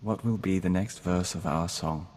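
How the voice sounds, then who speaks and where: An actor playing a gentle, earnest man joined to an insect hive mind, measured and formal in scripted game dialogue. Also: low voice, lightly acted. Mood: soft, sincere. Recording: noisy game capture with long reverb.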